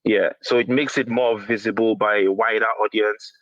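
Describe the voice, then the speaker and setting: A man's voice: on the low side, fairly even intonation, moderate pace, fairly bright tone, dry recording. A man with a Nigerian accent giving casual commentary as a caller to a show, heard over a phone call. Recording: dull, dry phone-call sound.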